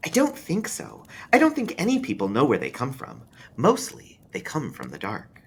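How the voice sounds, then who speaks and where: A man's voice: medium pitch, wildly swooping pitch, breathy edge, pitched above the performer's own voice, full character voice. A man voicing a precocious, serious young boy in an eerie storybook, earnest and thoughtful, a bit scared. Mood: solemn, searching. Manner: earnest, matter-of-fact, pausing thoughtfully. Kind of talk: read-aloud eerie storybook dialogue.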